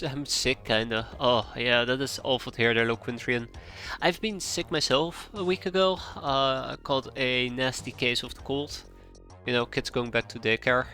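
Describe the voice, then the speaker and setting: A man's voice: medium pitch, bright, forceful tone. A man in his thirties with a cold and a stuffy nose, streaming a strategy game live, thinking aloud and drifting off track as he chats with viewers. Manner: rambling, thinking aloud, easily sidetracked. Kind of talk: live gameplay commentary, chatting with viewers.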